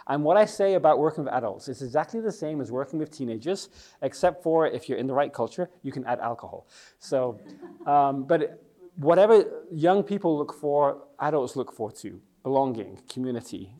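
A man's voice: mid-range voice, a little mellow. A middle-aged Englishman leading a teaching session in a chatty, joking way, asking the audience questions. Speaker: youth worker and church leader.

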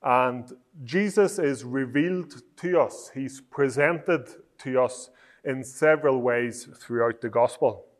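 A man from Northern Ireland with a Northern Irish accent, giving a measured, explanatory teaching talk on preaching. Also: mid-range voice. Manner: measured, explanatory, with frequent restarts.

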